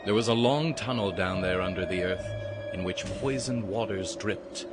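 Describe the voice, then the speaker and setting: A man's voice: lowish voice, moderate pace, natural voice. A man playing an ominous storyteller, narrating a spooky Halloween tale theatrically and savoring each phrase. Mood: eerie. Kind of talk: dramatic scripted storybook narration. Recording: loud music under the voice.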